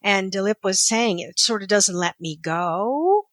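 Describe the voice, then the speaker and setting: A woman's voice: medium pitch, animated, measured pace, no echo. Earnest woman guest, dry close sound. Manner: earnest and reflective, laughs at herself. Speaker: returning podcast guest. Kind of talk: casual conversation.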